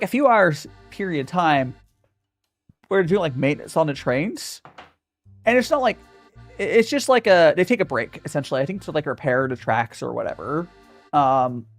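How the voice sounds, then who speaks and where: A young man chatting through a relaxed stream Q&A, his voice swinging up and down animatedly. He stumbles and restarts his sentences often as he reads chat questions aloud. Soft music plays quietly underneath. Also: a little high. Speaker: streamer answering viewer questions. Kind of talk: casual livestream Q&A.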